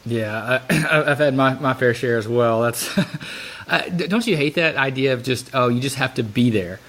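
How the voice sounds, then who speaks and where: A man's voice: mid-range voice, moderate pace, fairly bright tone. A man in his thirties or forties hosting a podcast, chatting casually and conversationally with a guest. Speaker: podcast host. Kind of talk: casual interview chat.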